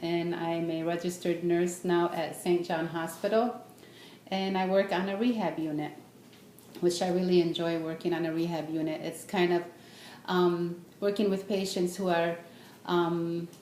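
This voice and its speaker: In an echoey room with some background noise, a middle-aged woman gives an informal speech about herself. Her voice sits fairly low, and she speaks haltingly, pausing often to find the next thought. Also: steady tone, a little mellow. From born in Beirut, raised in the United States. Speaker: student speaker, registered nurse. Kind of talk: informal self-introduction speech.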